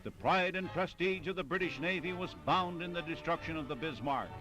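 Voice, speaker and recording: A man's voice: a little high, brisk, poor audio. A man narrating a 1940s newsreel in dramatic, declamatory, clipped phrases. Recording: vintage 1940s newsreel soundtrack with music.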